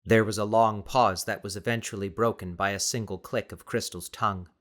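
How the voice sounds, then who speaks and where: A man's voice: lowish voice, steady tone, a little fast, fairly bright tone. A man reading scripted fiction as a dramatic audiobook narrator.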